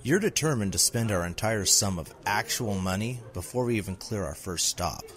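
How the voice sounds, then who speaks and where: A man voicing a gruff, thrifty but loyal male bodyguard in an audiobook story. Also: on the low side, animated, normal speed, fairly bright tone. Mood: irritated. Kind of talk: audiobook character dialogue.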